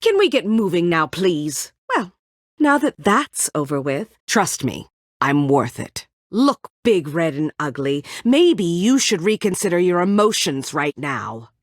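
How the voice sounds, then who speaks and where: A woman's voice: lowish voice, sing-song, a little slow, no echo. A woman playing a bitter, guarded former admin and ex-prisoner in a video game, curt and dryly sarcastic. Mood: impatient, sardonic. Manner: curt, dry sarcasm, brooding.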